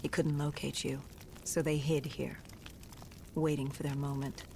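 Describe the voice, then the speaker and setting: Low-voiced, intense soldier. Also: female voice, flat delivery, a little slow, darkish tone, slight background noise. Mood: grave, haunted. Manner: intense, serious, earnest. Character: grave, determined female soldier recounting dark events. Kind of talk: dramatic video game acting.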